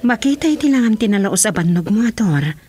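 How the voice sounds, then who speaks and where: A woman playing a gentle, worried wife in a radio drama. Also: mid-range voice, expressive, brisk, breathy edge, soft background music. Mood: concerned.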